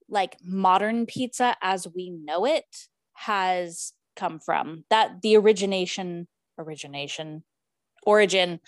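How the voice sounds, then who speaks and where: A woman's voice: mid-range voice, dry recording. A woman co-hosting a casual podcast, talking in a chatty way with many fillers and restarts. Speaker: podcast co-host. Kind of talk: casual chat.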